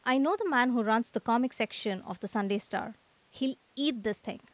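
A woman playing an excitable, impulsive young man, an artist, in a radio comedy, talking in exclamatory short bursts. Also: on the high side, normal speed, dry recording, natural voice. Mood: elated, frantic. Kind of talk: radio comedy drama acting.

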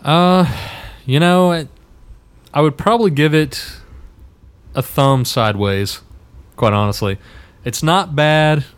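A man's voice: fairly high pitch, slow pace, long pauses, clear, resonant tone. A man on a podcast panel giving his opinions in casual film discussion. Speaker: podcast panelist reviewing films.

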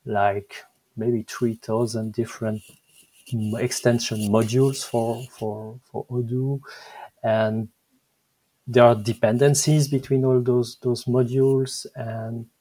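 A Belgian man in his fifties speaks English with a French accent, his voice sitting at an ordinary middle pitch. He talks haltingly, stopping to restart phrases and repeating words as he searches for them. It has the easy feel of a casual podcast chat. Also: flat delivery, dark tone, poor audio. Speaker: guest software engineer.

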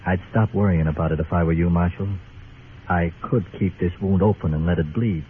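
A man playing a bitter frontier doctor gone crooked, low in pitch. His delivery is clipped and sardonic, in old radio drama fashion. The sound is narrow and slightly noisy. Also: steady tone, brisk, lightly acted. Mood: bitter, guarded. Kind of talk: 1950s radio drama acting.

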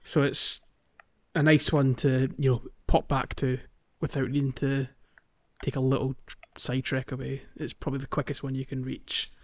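A man's voice: mid-range voice, monotone, moderate pace. A man hosting a casual gaming podcast, talking in an informal, conversational way. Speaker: podcast host. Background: music.

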